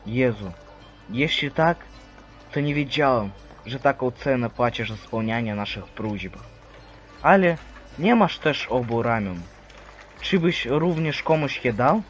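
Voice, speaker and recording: Mężczyzna jako pokorny, przejęty wierny, nieco stłumione nagranie. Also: male voice, medium pitch, lively intonation, unhurried, lo-fi sound, faint music behind, lightly acted. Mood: awed, troubled. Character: humble, awed believer eager to help Christ. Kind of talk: dramatic religious stage-play acting.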